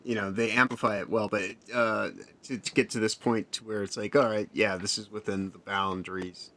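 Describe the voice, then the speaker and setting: A man co-hosting a casual film chat, speaking haltingly with many fillers. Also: lowish voice, little pitch movement, fairly bright tone. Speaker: podcast co-host.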